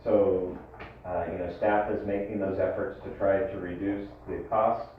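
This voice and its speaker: Low-voiced man, tinny and far from mic. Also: level tone, moderate pace. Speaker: health district staff presenting finances. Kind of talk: informal financial report at a board meeting. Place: meeting room, distant microphone. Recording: tinny echoey room from far off, faint noise.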